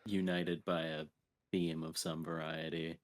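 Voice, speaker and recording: A man's voice: extremely low pitch, flat delivery, measured pace. A man co-hosting a video game podcast, chatting casually. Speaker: podcast co-host reviewing video games. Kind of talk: casual chat.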